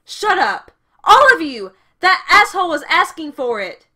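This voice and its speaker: A woman acts as a short-tempered gang girl in an audio drama. She is piercingly high and forceful, stretching words in exasperation. She shouts and bosses. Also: very animated, a little slow, full character voice. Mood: furious. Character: hot-tempered, bossy, foul-mouthed woman in a gang.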